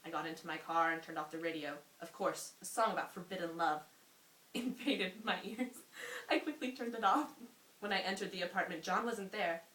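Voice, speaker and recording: A woman's voice: lowish voice, normal speed, a bit bright. A woman reading a story aloud informally, as an amateur, prone to giggly asides. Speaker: amateur reader. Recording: roomy echo, faint hiss.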